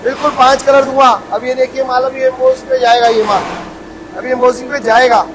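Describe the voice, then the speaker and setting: High-voiced eager salesman in noisy factory. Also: little pitch movement, brisk. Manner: eager salesman, keeps inviting and promising quality. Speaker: footwear factory owner giving a tour. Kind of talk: sales pitch factory tour. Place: footwear factory floor, indoors. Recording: noisy factory machinery behind.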